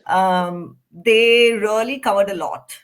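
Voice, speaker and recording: Woman from india, mid pitch, slow to find her words, chatting with a friend. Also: clear, resonant tone. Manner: hesitant, with many fillers and restarts. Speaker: co-host. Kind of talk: casual review chat. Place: home in London.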